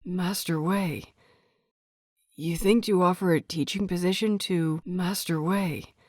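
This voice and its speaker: A woman's voice: lowish voice, steady tone, slow, no room sound, studio quality. A woman voicing an earnest young man, a respectful disciple who speaks politely and formally, in an audio story. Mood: disbelieving.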